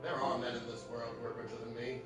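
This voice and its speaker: A man's voice: medium pitch, flat delivery, quick pace, natural voice. A man playing an easygoing young husband in an old-time radio play on stage. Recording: very echoey, distant stage recording, loud music.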